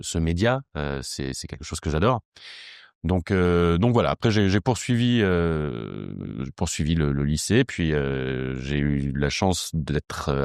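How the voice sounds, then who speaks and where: Deep-voiced, hesitant man from southern France. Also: a little mellow, smooth, no echo, clean studio sound. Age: around 40. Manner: hesitant, self-correcting, repeats words as they think. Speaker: podcast guest, communication agency director. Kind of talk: casual interview, recounting background.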